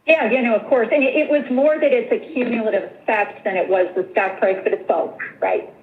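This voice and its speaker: A woman's voice: on the high side, a little fast. A woman answering as a finance executive on an earnings call, explaining in a conversational way. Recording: tinny phone-like line with slight noise.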